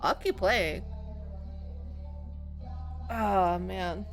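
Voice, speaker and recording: A woman giving casual, reactive let's-play commentary on a horror game, thinking out loud in an informal way. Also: mid-range voice, extremely slow. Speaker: game streamer playing a horror visual novel. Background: game music.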